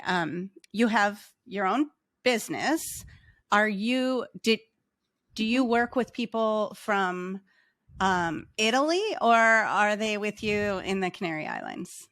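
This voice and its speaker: A woman's voice: medium pitch, animated, takes it slowly, a bit bright, no echo, studio quality. A woman hosting an interview podcast over a video call, enthusiastic and encouraging toward her guest. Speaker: podcast host, restaurant coach. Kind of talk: interview host.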